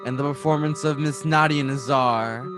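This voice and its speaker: A man's voice: mid-range voice, steady tone, over music, lightly acted. A man playing a grave, ominous announcer of a suspense series, in a dramatic old-time radio style. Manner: deliberate, dramatic.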